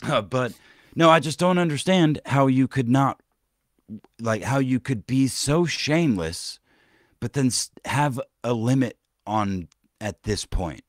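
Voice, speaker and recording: A man's voice: mid-range voice, expressive, measured pace, no room sound, clean studio sound. A man hosting a podcast, rambling and swearing through casual commentary, with many restarts and trailing-off sentences. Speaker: podcast host.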